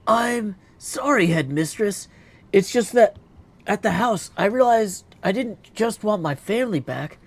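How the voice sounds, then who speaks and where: A man's voice: high voice, lively intonation, measured pace, pitched above the performer's own voice, heavily acted. A man voicing an earnest, dutiful young princess witch from a video game, speaking formally and from the heart. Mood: apologetic, tender. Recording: clear background noise.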